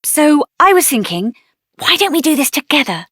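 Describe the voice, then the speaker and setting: Game heroine: young female mage, high and lively voice, assertive, sassy, dry close recording. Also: normal speed, dry recording. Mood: earnest, determined. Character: spirited, headstrong young female mage adventurer. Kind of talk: video game character voice acting.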